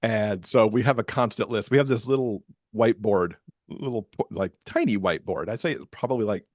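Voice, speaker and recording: Casting: man, lower-register voice, chatty podcast guest, casual delivery, dry recording. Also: a little fast, darkish tone, no room sound. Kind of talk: casual conversational interview.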